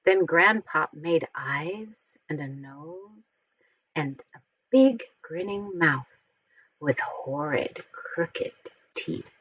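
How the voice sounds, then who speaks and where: A woman reading a storybook aloud to young children, expressively and with deliberate, careful enunciation. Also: deep voice, expressive, a bit bright, slightly rough, no room sound, lo-fi sound. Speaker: storybook reader.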